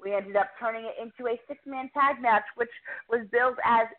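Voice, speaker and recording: A woman reporter giving a chatty, enthusiastic recap of a wrestling show, quick to poke fun at herself. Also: fairly high pitch. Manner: chatty, enthusiastic, self-deprecating. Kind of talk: casual podcast recap. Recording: tinny phone line.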